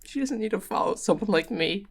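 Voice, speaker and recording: A woman's voice: mid-range voice, expressive, brisk, creaky, husky. A woman acting a self-doubting, guilt-ridden young character in an actual-play game, despairing and self-blaming.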